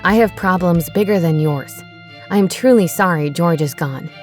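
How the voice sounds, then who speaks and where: A woman's voice: fairly low pitch, moderate pace, soft background music, close to an everyday voice. A woman reading voicing a bitter, newly cloned man who lashes out in anger. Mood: angry. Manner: angry, forceful.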